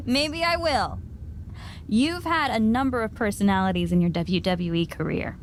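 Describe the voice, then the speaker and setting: A woman's voice: fairly high pitch, a little slow, a little hiss or hum. An actress playing a teasing, affectionate woman wrestling promoter in a video game, speaking conversationally. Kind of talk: video game cutscene acting.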